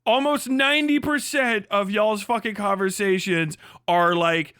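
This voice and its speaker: High bright guy on a livestream, swearing and mocking, laughs a lot, dry close sound. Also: steady tone, unhurried, clear, resonant tone, no room sound. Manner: profane, mocking, laughing often. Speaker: livestream host. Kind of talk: livestream commentary rant.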